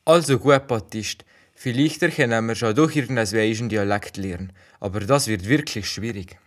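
A man's voice: lowish voice, moderate pace, lightly acted. A young male performer playing a sceptical village lad with a Valais Swiss German accent in an amateur radio play. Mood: patient, sceptical.

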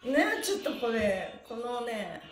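A woman's voice: fairly high pitch, big pitch swings, takes it slowly, faint music behind. A middle-aged woman leading a stretch class in a cheerful, chatty and very polite, playful manner. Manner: cheerful, very polite, playfully addressing body parts and devices with honorifics. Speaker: stretch class instructor and livestream host. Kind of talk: chatty exercise instruction with counted chants. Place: small indoor room with bare walls and mat, echoey. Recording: echoey room sound.

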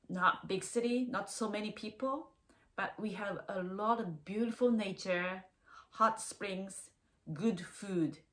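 An older Japanese woman with a Japanese accent, a vlogger speaking careful, simple English in short phrases as she guides viewers. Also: medium pitch. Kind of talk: travel vlog guide. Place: room at home with a bookcase.

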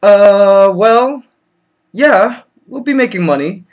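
Man, 30s, high-pitched vlogger, patient and amused. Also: lively intonation, takes it slowly. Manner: patient then exasperated, laughing. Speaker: comedy vlogger. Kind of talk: comedy sketch vlog. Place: small bedroom, indoors.

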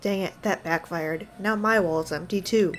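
A woman's voice: lowish voice, normal speed, a little hiss or hum, close to an everyday voice. A woman voicing a brash, boastful young male apprentice. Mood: deflated. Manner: brash, taunting.

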